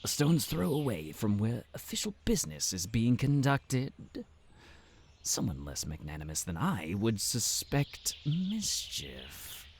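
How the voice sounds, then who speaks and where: Man playing a slow, sneering pompous official. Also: medium pitch, wildly swooping pitch, a little hiss or hum. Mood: sneering contempt. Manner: pompous, condescending, drawn-out formal phrasing. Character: haughty, sneering town official, condescending and smug male. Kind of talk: fantasy audio roleplay acting.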